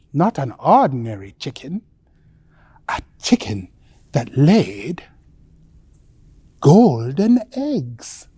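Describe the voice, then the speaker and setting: A woman telling a children's bedtime story in a theatrical, deliberate way with dramatic pauses. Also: deep voice, dark tone, breathy edge, no room sound.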